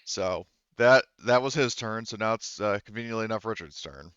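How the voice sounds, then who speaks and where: Crisp-voiced, foul-mouthed man running a tabletop game. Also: lowish voice, moderate pace, fairly bright tone, dry recording, highs cut off. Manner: profane and jokey. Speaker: game master. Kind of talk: casual tabletop roleplaying narration and rulings.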